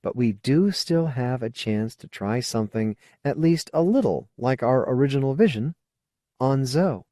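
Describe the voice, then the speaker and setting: A man's voice: medium pitch, lively intonation, normal speed, mellow, no echo, clean studio sound, voice pushed up, lightly acted. A man voicing a frank, earnest, coaxing woman in an audiobook. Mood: exasperated, frank. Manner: earnest, coaxing, plain-spoken. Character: frank, persuasive woman colonist, old friend. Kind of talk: audiobook character dialogue.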